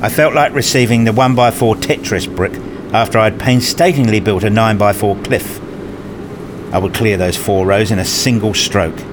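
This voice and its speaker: A wry, anxious, nerdy dad played by a man telling his story in a radio play. His voice is on the low side, with dramatic, formal phrasing and noticeable noise behind it. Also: steady tone, moderate pace, lightly acted. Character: self-deprecating, anxious, nerdy father narrating his day. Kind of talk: first-person radio play narration.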